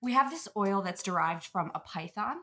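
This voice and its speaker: A woman playing a chipper, gently condescending wellness influencer in an improvised comedy interview. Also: mid-range voice, expressive, moderate pace, natural voice. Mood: salesy, condescending. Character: chipper, sanctimonious female wellness influencer peddling quack products.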